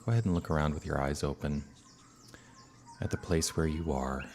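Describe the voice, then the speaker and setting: A man speaking as a minister leading a guided meditation, calm and unhurried, with long soothing pauses. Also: very deep voice. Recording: birdsong and outdoor ambient noise behind. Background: birdsong.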